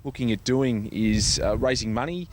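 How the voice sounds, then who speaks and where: An Australian man giving informal interview answers as a guest, speaking in a hesitant, halting way with many pauses. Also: mid-range voice, lively intonation, a little mellow, a little hiss or hum. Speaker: interview guest, charity foundation organiser.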